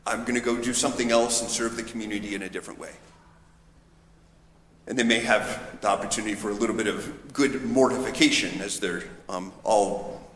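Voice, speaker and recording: A man, a priest, giving a conversational, anecdotal homily in a reflective, somewhat halting manner. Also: lowish voice, fairly even intonation, a bit bright. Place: large reverberant church interior. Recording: echoey church, long reverb, background noise.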